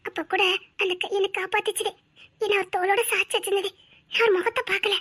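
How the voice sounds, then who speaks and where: An actress playing a devoted wife quietly recounting how a mysterious hand always protected her. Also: extremely high pitch, little pitch movement, quick pace. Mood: wistful. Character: devoted young wife, wistful and trusting. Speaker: wife narrating her story. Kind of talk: soft dramatic monologue.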